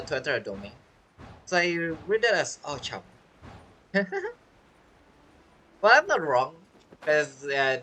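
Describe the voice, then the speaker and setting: A woman's voice: sits low, a bit bright, slight background noise. A woman giving chatty, casual commentary while playing a game, with mumbled asides. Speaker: game streamer. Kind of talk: casual let's-play commentary.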